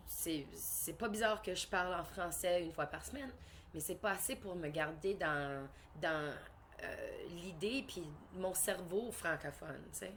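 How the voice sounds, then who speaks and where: A woman's voice: fairly low pitch, a little slow, fairly bright tone, slight background noise. A woman with a Canadian French accent, a singer-songwriter answering interview questions casually, hesitating often and slipping English words into her French. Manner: hesitant, many fillers and restarts.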